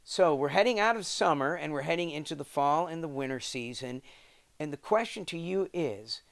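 A man's voice: a little high, expressive, normal speed, vocal fry. A middle-aged man with an American accent hosting an interview show, presenting emphatically like a showman. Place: wooden sunroom indoors.

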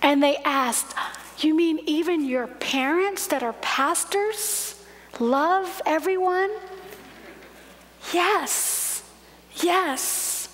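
A woman in her forties preaching a sermon at a lectern in a large church, telling stories in a gentle, measured way. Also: sits high. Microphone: lectern microphone. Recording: long hall reverb.

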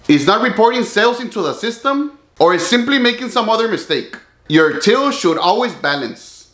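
A man in his thirties hosting a business vlog, speaking to camera in a steady, earnest scripted delivery from a home office. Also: high-pitched, echoey room. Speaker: business owner and channel host. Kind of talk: scripted talking-head vlog.

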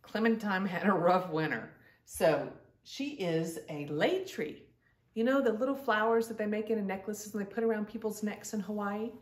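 A woman's voice: mid-range voice, moderate pace. Middle-aged female storytime host, mid-range voice, reading to children from a little way off the mic in a roomy space. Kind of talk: read-aloud children's storytelling. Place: indoor room at home. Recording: roomy, distant-mic home recording.

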